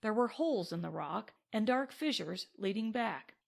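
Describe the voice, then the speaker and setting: A woman's voice: mid-range voice, lively intonation, normal speed, creaky. A woman reading scripted narration from a western novel as an audiobook. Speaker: audiobook reader.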